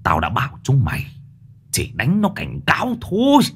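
A man's voice: lowish voice, wildly swooping pitch, moderate pace, fairly bright tone, a little hiss or hum. Man voicing snarling older boat boss. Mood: menacing. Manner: snarling, threatening, cursing.

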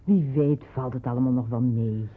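An actress playing a polite, anxious shopkeeper's wife in a radio drama, tentative and hoping for the best. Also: female voice, a bass voice, normal speed, slightly rough. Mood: anxious, hopeful. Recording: muffled, phone-like old radio recording.

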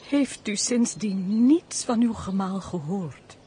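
An actress playing a compassionate married lady in a radio drama, expressive and earnest in a theatrical way. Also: medium pitch, slow, a little hiss or hum. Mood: consoling, urgent.

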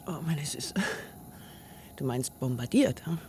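A woman playing a sick, exhausted mother who coughs and gently teases her daughter. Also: extremely low pitch, unhurried, slight background noise. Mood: weary. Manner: tired, gently teasing. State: coughing, weak, short of breath. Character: weary farm mother, ill and teasing.

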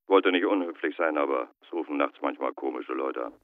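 A man's voice: very deep voice, fairly quick. A man playing a wary, evasive night-time phone contact in a radio crime drama, guarded and terse, heard through a telephone. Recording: dull telephone line.

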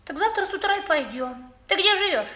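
A woman's voice: very high-pitched, expressive, a little fast, pushed and bright, heavily acted. An actress playing a bold, secretive, prickly young girl in a 1960s radio drama, blunt and childlike in delivery. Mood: eager.

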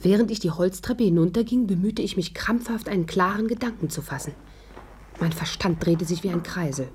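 A woman's voice: lowish voice, a little fast, darkish tone, slightly rough. A woman playing a resolute heroine who narrates her crime adventure in tense, scripted 1950s radio style, on an old recording.

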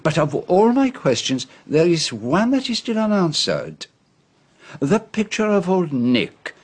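An actor playing a shrewd, courtly Belgian detective in a radio drama, speaking precisely and formally with a Belgian French accent. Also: male voice, a little high, husky, full character voice. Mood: gentle, curious.

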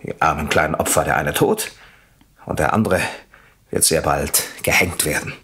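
An actor in a radio play playing a jealous, coldly sardonic husband with a mockingly polite, deliberate manner. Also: male voice, very deep voice, steady tone, bright, forceful tone, husky, heavily acted. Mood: cold, accusing.

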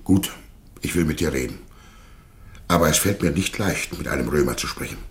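A Germanic warlord prince in a radio drama, with a low voice. He sounds proud and earnest, given to pompous, declamatory phrasing with a reluctant streak. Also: animated, fairly quick, vocal fry, heavily acted. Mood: reluctant, grave. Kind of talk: theatrical radio play dialogue.

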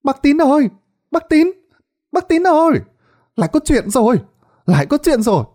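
A man voicing a male villager who rushes in, calling out breathless and urgent. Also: very high voice, sing-song, a little mellow, pitched above the performer's own voice. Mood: alarmed. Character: breathless male villager bringing bad news.

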